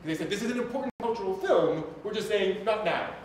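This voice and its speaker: A man's voice: high-pitched, animated, brisk, slight background noise. Man thinking aloud as a live stream host. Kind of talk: opinionated commentary on anime, talking to a live audience.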